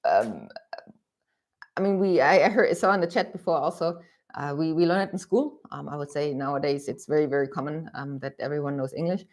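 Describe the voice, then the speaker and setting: Woman, low voice, hesitant livestream co-host. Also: fairly quick, darkish tone. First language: German. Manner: hesitant, lots of ums and restarts. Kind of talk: casual livestream chat.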